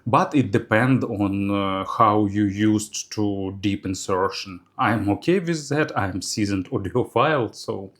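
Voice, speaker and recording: A man with a Ukrainian accent reviewing earphones and unboxing them, pausing often on "uh" fillers. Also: fairly low pitch. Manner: unhurried, halting, many "uh" fillers.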